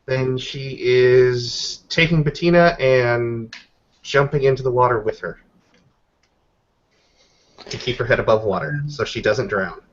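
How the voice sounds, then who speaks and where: A man's voice: medium pitch, measured pace, pauses often. Guy playing a tabletop rpg, casually narrating what his character does, muffled lo-fi audio. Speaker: player in a tabletop roleplaying game. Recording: dull, lo-fi voice recording.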